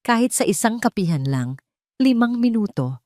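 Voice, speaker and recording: A woman's voice: on the high side, sing-song, moderate pace, a little mellow, no echo, studio quality. A woman reading a scripted dramatic story as its narrator.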